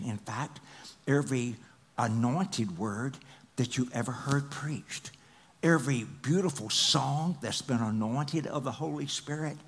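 A middle-aged man preaching a sermon on a large church stage into a headset mic, swinging from loud, excited passages to hushed whispers. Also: medium pitch. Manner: paces the stage and gestures. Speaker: pastor.

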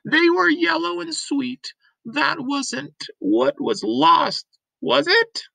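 A man's voice: very high voice, animated, takes it slowly, gravelly, sharp tone, dry recording, voice pushed up, heavily acted, poor audio. A man voicing a forgetful old grandmother who rambles wistfully in a graphic novel read-aloud. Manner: wistful reminiscing.

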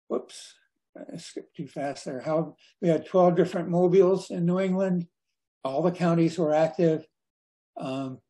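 An older man giving an informal slide talk over a video call as a guest speaker, in a halting, conversational way. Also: a little high, mellow, rough recording. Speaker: guest speaker at a radio club.

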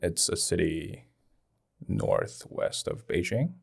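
Low, creaky-voiced young Chinese man. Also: huge pitch swings, slow pace. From Dalian, China. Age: 20s. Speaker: podcast guest. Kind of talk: casual personal testimony in an interview. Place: small indoor podcast studio. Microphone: close boom-arm studio microphone.

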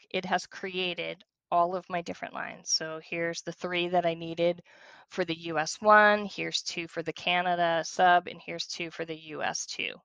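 A woman demonstrating software, walking through each step in a conversational tutorial style. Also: lowish voice, gravelly, no room sound.

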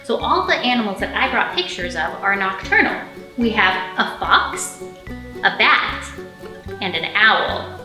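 A woman in her thirties leading a storytime for young children, speaking in simple, expressive phrases with plenty of questions. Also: mid-range voice, a little slow. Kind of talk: storytime teaching, singing and picture-book reading for young children. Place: indoor library storytime room. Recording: roomy echo, faint music.

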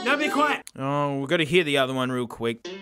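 A hoarse man, excitably reviewing a gadget in casual, exclamatory commentary. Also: medium pitch, a little fast, music in the background. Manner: excitable, exclamatory, self-mocking.

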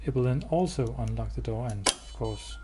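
A man giving a hands-on product demo indoors, explaining step by step in a halting, matter-of-fact way. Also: mid-range voice. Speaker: product demonstrator. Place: indoors, showroom with wall-mounted devices. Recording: clear background noise.

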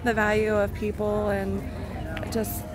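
A middle-aged woman from Oregon answering haltingly and reflectively in an outdoor interview. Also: mid-range voice, completely flat delivery, rough recording. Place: outdoors on a waterfront. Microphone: handheld interview mic. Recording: loud music over outdoor sound.

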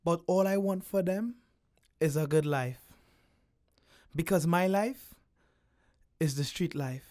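A man with a Trinidadian accent voicing a young hustler's quiet voice-over about his family. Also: a little high, takes it slowly. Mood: reflective. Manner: quiet, reflective. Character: young male dealer reflecting on family. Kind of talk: voice-over narration.

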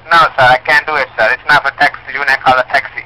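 A man's voice: medium pitch, fairly even intonation, rapid-fire, bright, forceful tone. A man with a non-native English accent, working as a pizza driver, politely repeating himself on a customer phone call. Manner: polite, repetitive. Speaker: pizza delivery driver taking calls.

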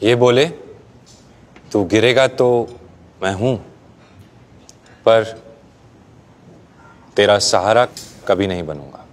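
An actor playing a young groom, speaking a slow, heartfelt and gently teasing wedding tribute in a scripted ad monologue. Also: male voice, lowish voice. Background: faint background music.

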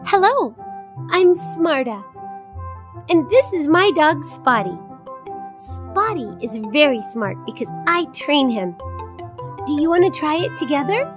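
A woman voicing a cheerful, kindly little girl in a children's cartoon, speaking simply and clearly to young viewers. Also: high-pitched, very animated, measured pace, long pauses, music in the background. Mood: friendly, instructive.

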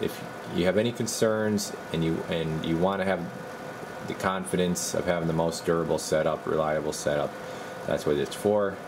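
A man speaks in a deep register with plenty of gravelly fry. He is plain-spoken and informal, thinking aloud as he demonstrates repair work like a technician. A clear bed of background noise sits behind his voice. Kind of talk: casual hands-on repair demonstration.

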